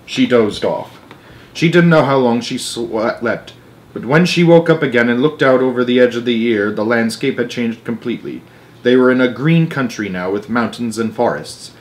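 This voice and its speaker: A man narrating a children's book aloud in an expressive way, with dramatic emphasis phrase by phrase. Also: medium pitch, normal speed. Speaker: storyteller.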